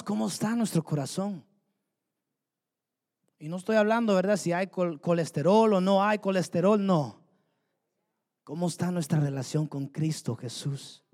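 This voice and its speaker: A man's voice: sits high, a little mellow. A man preaching a sermon, fervently asking the congregation rhetorical questions. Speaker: pastor. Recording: long hall reverb.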